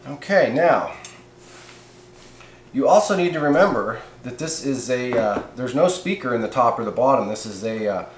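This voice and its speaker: Male hobbyist reviewer, laid-back and folksy, average pitch, roomy echo with some background noise. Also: lively intonation, normal speed. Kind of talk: casual hands-on product review. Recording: echoey room, noticeable background hiss.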